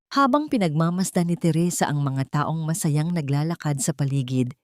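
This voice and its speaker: A woman narrating a scripted dramatic audio story in a measured, solemn manner. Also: sits low, a little mellow, no room sound, studio quality.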